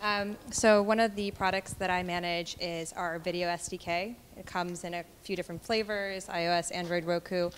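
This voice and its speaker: A woman's voice: on the low side, fairly even intonation, normal speed, few pauses, slight background noise. A woman product manager explaining her work as a panelist, recorded with long hall reverb.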